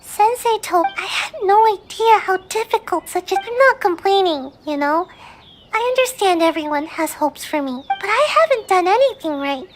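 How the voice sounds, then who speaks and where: A woman's voice: extremely high pitch, animated, normal speed, faint music behind. A woman voicing a small, discouraged young ninja girl in a video game, speaking timidly and hesitantly. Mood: downcast, apologetic. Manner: timid, hesitant, self-doubting. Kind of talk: video game character dialogue.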